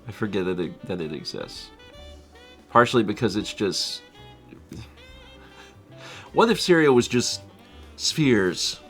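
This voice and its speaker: Lively bright-voiced man in his thirties. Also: mid-range voice. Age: 30s. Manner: rambling, self-deprecating, laughs often. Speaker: livestream co-host. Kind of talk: casual chat reading viewer comments.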